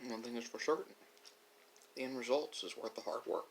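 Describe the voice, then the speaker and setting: A man delivering a reflective monologue, speaking philosophically with dramatic pauses and emphasis. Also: mid-range voice, slight background noise. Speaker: video creator.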